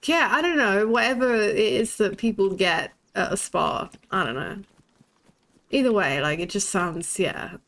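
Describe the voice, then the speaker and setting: A woman's voice: medium pitch, expressive, unhurried, a bit bright. A young woman with a lisp, chatting bluntly and swearing while streaming a game. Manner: blunt and sweary, laughs often. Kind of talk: casual chat while playing a shooter game.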